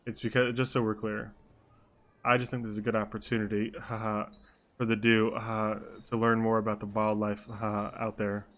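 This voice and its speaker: A man's voice: on the low side, completely flat delivery, moderate pace, fairly bright tone. A man voicing a reluctant, skeptical officer in charge in a tabletop role-playing game, weary and grudging.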